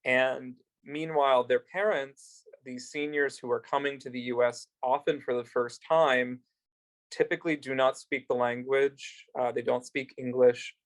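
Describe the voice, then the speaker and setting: A man's voice: mid-range voice, a little slow. A man who works in immigrant legal services, speaking on a video call panel with a hesitant delivery full of fillers. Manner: flat, hesitant, many fillers. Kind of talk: panel talk.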